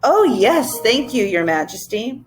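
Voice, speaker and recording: A woman's voice: fairly high pitch, sing-song, unhurried, faint music behind. A woman voicing polite, curious children who speak respectfully in a children's story. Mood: eager, surprised. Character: polite, curious human children visiting royalty. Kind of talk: children's storybook read-aloud.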